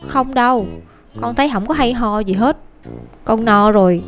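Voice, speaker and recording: A woman's voice: a little high, moderate pace, natural voice. A woman voicing a whiny, skeptical, game-obsessed schoolboy in a cartoon, grumbling and exclaiming in a southern Vietnamese accent. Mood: sulky. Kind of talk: animated cartoon dialogue. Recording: music clearly behind the voice.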